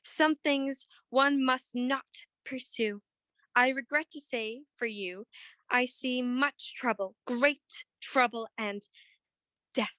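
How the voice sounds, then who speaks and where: A woman's voice: high-pitched, slow pace, sharp tone, no echo, exaggerated voice. A woman playing a mysterious fortune-teller in a detective radio play, commanding, formal and portentous. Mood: imperious, ominous. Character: imperious, mysterious fortune-teller madam, coldly ominous.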